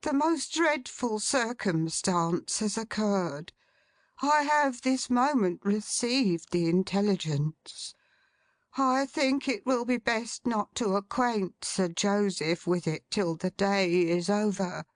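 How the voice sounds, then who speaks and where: A woman's voice: medium pitch, expressive, slow, no echo, voice pushed up. A woman voicing an agitated, fussy male secretary who blurts out alarming news in breathless exclamations.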